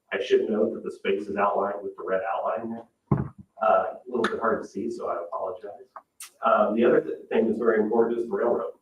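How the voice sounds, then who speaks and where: A man giving a measured, explanatory public presentation as a landscape architect at a meeting, with somewhat hesitant phrasing. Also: fairly low pitch. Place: indoor public meeting room. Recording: roomy, distant mic, dull sound with noise.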